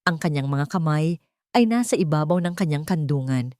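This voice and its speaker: A woman narrates a dramatic love story in a steady, deliberate manner. Her voice sits low, and the recording is dry and close with no room sound. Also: no room sound. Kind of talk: scripted audiobook narration.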